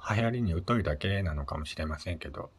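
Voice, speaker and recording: A man with a low-pitched voice hosts a relaxed solo radio talk. He speaks politely in short phrases, often pausing on small fillers as he gathers his next thought. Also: husky. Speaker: solo podcast host. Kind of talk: casual solo radio chat.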